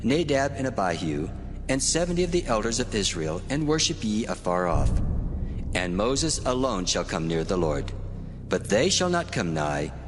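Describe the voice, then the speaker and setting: A man voicing God in a dramatized scripture recording, with a deep, solemn, commanding delivery. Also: big pitch swings, moderate pace, noisy background. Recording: reverberant voice over music.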